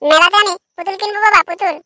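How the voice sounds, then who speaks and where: A woman's voice: extremely high pitch, racing, pushed and bright, dry recording, clean studio sound. A woman voicing a cheeky, foul-mouthed, argumentative village boy in a comic cartoon. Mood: excited. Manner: brash, argumentative, swearing.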